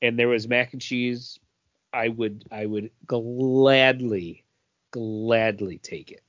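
A man's voice: medium pitch, fairly even intonation, takes it slowly, a bit bright, dry recording, highs cut off. A man talking casually as a co-host in a podcast panel discussion.

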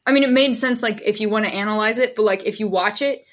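A young woman hosting a podcast, chatting casually and joking, swearing freely, with a shrill voice. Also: fast talker. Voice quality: self-described shrill voice. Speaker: podcast host. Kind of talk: casual chat among friends.

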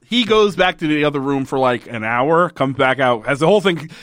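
A man's voice: a little high, animated, fairly quick, fairly bright tone, no room sound. A man in casual podcast chat, sarcastic and swearing often as he recaps a TV episode and acts out its lines. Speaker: podcast co-host.

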